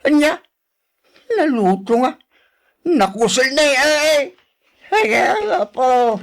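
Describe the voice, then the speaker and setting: An actor playing a grumpy, nagging husband in an Ilocano radio drama, with an Ilocano accent and a complaining, exclamatory way of talking. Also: male voice, very high-pitched, animated, slow pace, fairly bright tone, full character voice. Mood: scolding.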